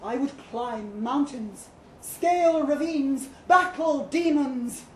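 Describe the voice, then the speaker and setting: Woman performing a grandiose lovestruck male statue, declaiming loudly. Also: high-pitched, takes it slowly, pitched above the performer's own voice. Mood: boastful ardour. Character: ardent, boastful male statue in love, theatrically romantic. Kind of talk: live short-story reading. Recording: echoey live room, background noise.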